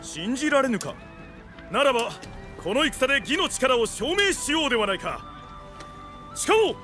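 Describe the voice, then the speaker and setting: An actor playing a zealous, righteous young samurai strategist in a game, declaiming theatrically and with grand ideals. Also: male voice, very high voice, big pitch swings, moderate pace, sharp tone, soft background music, exaggerated voice. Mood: impassioned. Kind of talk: Japanese samurai game cutscene acting.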